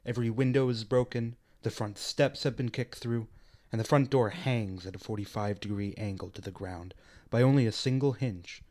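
A man's voice: medium pitch, steady tone, normal speed, hardly any pauses, a little mellow. A man reading scripted stage directions and scene descriptions as the narrator of a radio play.

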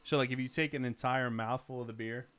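A man's voice: medium pitch, fairly even intonation, fast, a bit bright, clean tone. A man chatting casually as a podcast host, with wry, teasing and sometimes profane banter. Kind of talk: casual banter, beer tasting chat.

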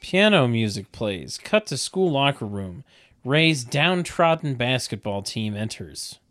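A man's voice: mid-range voice, sing-song, a little slow, fairly bright tone. A man reading stage directions at a comedic table read, with wry, mocking asides.

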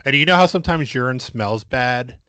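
Guy on a podcast mic, crude and braggy, slow emphatic talk, dead dry sound. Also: medium pitch, lively intonation, pushed and bright, dry recording. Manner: crude, boastful mock-macho bits, slow emphatic delivery. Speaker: podcast co-host. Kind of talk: casual comedic podcast banter.

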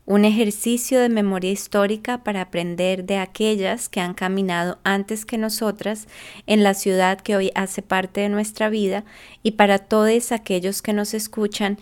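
A woman reading the scripted introduction of a series in a measured, even way. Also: mid-range voice, clean tone. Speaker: series narrator. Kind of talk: scripted narration.

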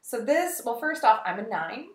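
A woman's voice: a little high, big pitch swings, moderate pace, a crowd in the background. A young woman, a podcast guest, chatting casually and telling a story, acting out the texts she quotes. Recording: roomy room echo.

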